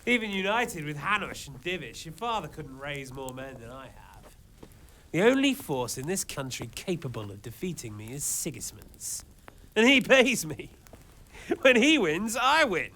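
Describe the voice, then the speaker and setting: An actor playing a scheming mercenary lord who taunts a captive with silky menace. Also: male voice, on the high side, very animated, normal speed, sharp tone, slight background noise, exaggerated voice, rough recording. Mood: menacing. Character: smug scheming mercenary lord, adult man. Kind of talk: game cutscene acting.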